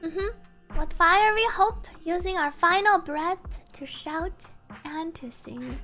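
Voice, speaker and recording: A woman's voice: very high-pitched, slow, pushed and bright. A woman streamer reading translated game dialogue aloud in an emotive, dramatic manner. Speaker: streamer reacting to game cutscenes. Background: game music.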